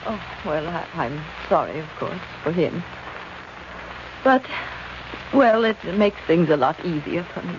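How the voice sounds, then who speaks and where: A woman's voice: fairly low pitch, animated, a little slow, pauses often. An actress playing a genteel, scheming wealthy woman with a hesitant, flustered manner in 1940s radio drama style. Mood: flustered, confiding. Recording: muffled, faintly noisy old radio recording.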